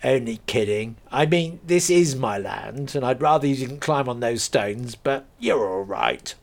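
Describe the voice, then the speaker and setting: A man's voice: medium pitch, animated, measured pace, vocal fry, voice pushed up. A man voicing a jovial farmer in his forties. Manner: jovial, teasing, chatty.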